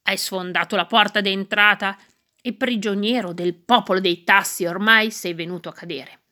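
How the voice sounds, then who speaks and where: A woman's voice: mid-range voice, steady tone, normal speed, bright, forceful tone, close to an everyday voice. A woman voicing a grumbling male badger in a storybook, scolding an intruder.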